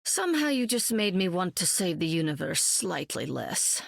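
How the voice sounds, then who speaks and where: A dry, sarcastic sorceress. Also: female voice, on the low side, sing-song, moderate pace, gravelly, no echo, exaggerated voice. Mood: wry. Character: wry, powerful sorceress. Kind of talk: video game voice acting.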